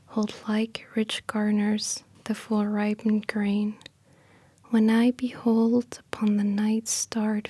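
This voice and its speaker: A woman speaking softly in a breathy half-whisper, gentle and unhurried, in an intimate ASMR style close to the microphone. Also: mid-range voice, no room sound. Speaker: ASMR creator and host. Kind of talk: soft-spoken ASMR, casual explaining and poetry reading.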